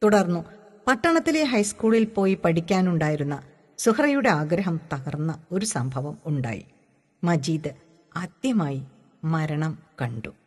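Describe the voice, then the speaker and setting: A woman from reads literary prose aloud as a measured, deliberate storyteller. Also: fairly low pitch, animated, a little mellow. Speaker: audiobook reader. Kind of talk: expressive literary narration. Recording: long hall-like reverb.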